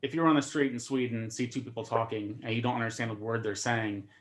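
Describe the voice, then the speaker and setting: A man talks quickly and casually as a comedian on a video call. He keeps tripping over his first words and repeating them before the sentence gets going. His voice sits at a middling pitch. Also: fairly even intonation. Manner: fast-talking, repeats words while starting sentences. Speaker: comedian guest.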